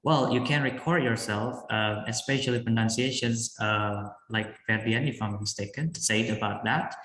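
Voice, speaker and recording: A man's voice: mid-range voice, a bit bright. Indonesian man in an echoey room. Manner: halting, frequent fillers and restarts. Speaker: guest speaker answering questions. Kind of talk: live online class Q&A.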